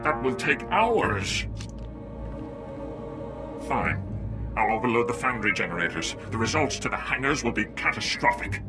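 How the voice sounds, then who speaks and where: Theatrical, cowardly villain with swooping pitch. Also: male voice, big pitch swings, measured pace, long pauses, over music. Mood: grudging. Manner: theatrical, pompous. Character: boastful alien station technician, cowardly and self-serving. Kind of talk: video game voice acting.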